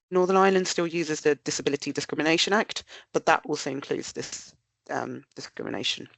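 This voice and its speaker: A woman's voice: deep voice, creaky, no echo. A woman with a nasal voice, bunged up with a cold, giving a prepared webinar presentation. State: blocked with a cold. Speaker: research director presenting.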